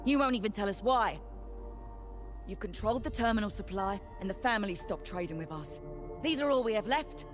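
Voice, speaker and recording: A woman's voice: medium pitch, animated, fairly quick, close to an everyday voice. A woman voicing an aggrieved, defiant townsperson in a video game, plain-spoken and protesting. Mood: indignant. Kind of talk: scripted game voice acting. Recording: background music.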